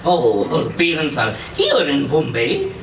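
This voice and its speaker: Guy doing a boastful ex-commando in a story reading, highish voice, distant mic. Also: huge pitch swings, unhurried, gravelly, exaggerated voice. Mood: emphatic, animated. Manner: emphatic, repetitive, broken grammar with questioning tags. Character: menacing, boastful Iranian ex-commando, volatile and emphatic. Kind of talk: dramatic character reading from a novel. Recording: distant echoey room, faint noise.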